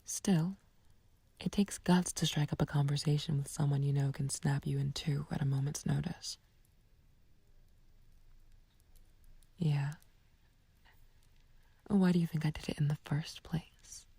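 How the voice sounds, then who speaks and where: A woman playing a flirtatious, taunting villain in an ASMR roleplay, speaking softly and breathily in a teasing, coaxing, languid way. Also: sits low, fairly even intonation, moderate pace, dry recording, exaggerated voice. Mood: teasing, amused. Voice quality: breathy, whispery, soft. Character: flirtatious, taunting female villain sweet on a captive friend. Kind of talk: ASMR roleplay, intimate scripted acting.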